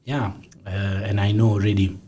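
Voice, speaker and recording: A man's voice: on the low side, fairly even intonation, moderate pace, warm tone, husky. A man in his forties from southern Italy with a Neapolitan Italian accent, a film director speaking as a studio guest with many fillers and restarts. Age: 40s. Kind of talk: interview guest.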